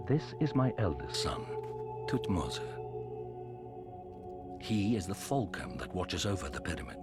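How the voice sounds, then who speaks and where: Older high priest, bass-deep, ceremonial game role, loud music underneath. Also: male voice, very animated, a little slow. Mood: solemn. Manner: solemn, ritual incantation. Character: solemn aged father, high priest presenting his children. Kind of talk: ceremonial video game acting.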